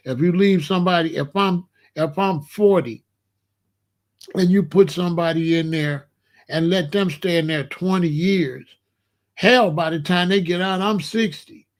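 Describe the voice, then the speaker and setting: Blunt confrontational man ranting to his chat. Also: fairly high pitch. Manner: blunt, repetitive, confrontational, calls out a chatter. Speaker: host of a live commentary stream. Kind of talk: unscripted live-stream commentary, talking to chat.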